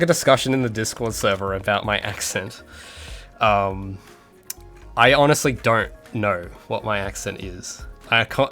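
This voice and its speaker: A man's voice: lowish voice, a bit bright. A man from Australia, an indie game developer, chatting casually on a livestream, rambling with many fillers and restarts. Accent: Australian with some American sounds.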